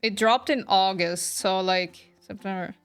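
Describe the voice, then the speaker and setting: A woman's voice: mid-range voice, unhurried, smooth. A woman chatting casually with her viewers as she streams a game, informal and reactive to their comments. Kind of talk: casual livestream chat with viewers. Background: music behind the voice.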